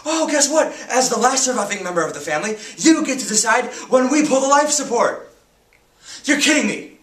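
Young man acting a high-voiced, grief-stricken teenager, echoey. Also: lively intonation. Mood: angry, disbelieving. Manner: emotional, halting, swinging from whispers to shouts. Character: grief-stricken teenager who lost the whole family. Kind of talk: dramatic audition monologue. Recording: echoey room, distant microphone.

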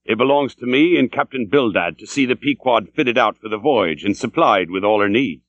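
A man's voice: animated, moderate pace, fairly bright tone, no room sound, dull audio. A man voicing a fierce, blustering old male sea captain who barks archaic questions. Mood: fierce, lecturing. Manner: booming, archaic, interrogating.

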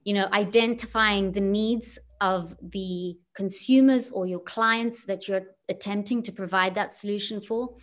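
A woman's voice: mid-range voice, fairly even intonation, a little slow, hardly any pauses. A woman from Zimbabwe giving a webinar talk, telling her own story with earnest rhetorical questions over a video call. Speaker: guest speaker, entrepreneur. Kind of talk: webinar presentation.

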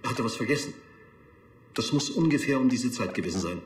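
An actor playing a polite, businesslike funeral director in a TV crime drama. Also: male voice, medium pitch, expressive, a little fast, reverberant, slight background noise, lightly acted. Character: polite funeral director answering police questions.

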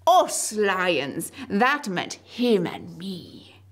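A woman voicing an excitable, boastful male lion who repeats himself eagerly. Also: mid-range voice, exaggerated voice. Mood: excited. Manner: excited, rapid, repetitive.

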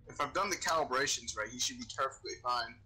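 A man's voice: mid-range voice, fast, sharp tone, soft background music. A man talking casually and informally as a player in an online roleplay game. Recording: noisy game voice chat.

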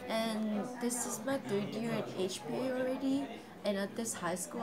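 A teenage girl from Vietnam with a Vietnamese accent, a high school student talking earnestly in an interview, with simple phrasing and fillers. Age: teens. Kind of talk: interview testimonial. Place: school library, indoors. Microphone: camera on table, seated medium shot.

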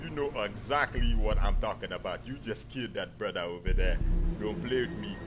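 A man voicing an excitable, indignant crewmate in an animated game parody, dramatic and repetitive. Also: lowish voice, fairly quick, over music, natural voice. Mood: accusing. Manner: dramatic, repeats himself, exclamatory.

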